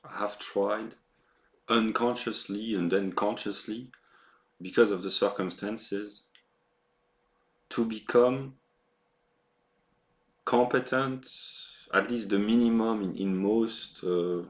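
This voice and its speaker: A man speaking in a reflective, personal monologue, halting and often repeating words and phrases. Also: lowish voice, fairly even intonation. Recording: roomy, echoing sound.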